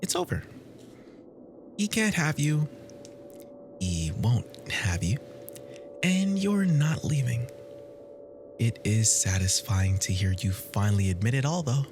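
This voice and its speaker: A performer playing an obsessive, jealous, violently devoted lover in an ASMR roleplay, theatrical and swinging between breathy whispers and manic laughter. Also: medium pitch, sing-song, takes it slowly, long pauses, darkish tone, a little hiss or hum. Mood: cold, menacing. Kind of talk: ASMR roleplay audio drama.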